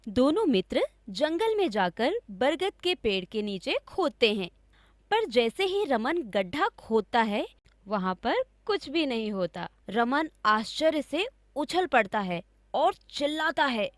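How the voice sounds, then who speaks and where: A woman's voice: sits high, sing-song, slow, no echo, studio quality. A woman narrating a scripted story for children as a storyteller.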